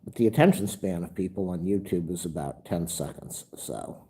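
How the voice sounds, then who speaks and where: A man's voice: low voice, normal speed, dark tone, husky. A man with a nasal, stuffed-up voice, rambling and grumbling through a casual livestream. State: stuffed-up nose. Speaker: livestream host.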